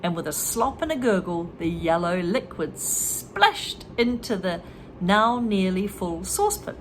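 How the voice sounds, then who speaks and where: A woman's voice: lowish voice, sing-song, a little slow, slight background noise. A woman reading a children's story aloud and stopping to explain English words and expressions to learners. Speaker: reader and English teacher.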